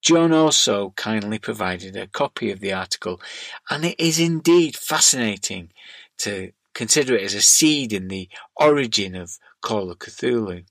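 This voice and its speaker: A man's voice: on the low side, expressive, moderate pace, a bit bright, dry recording. A middle-aged man reading scripted narration and listener letters aloud as a podcast host.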